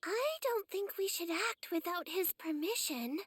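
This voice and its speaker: A woman's voice: very high-pitched, expressive, measured pace, a bit bright, no room sound, heavily acted. A woman playing a bubbly, lovestruck young swordswoman in an anime game, sweet and girlish in manner. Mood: hesitant concern. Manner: gushing, sweet, cutesy. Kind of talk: English anime game voice acting.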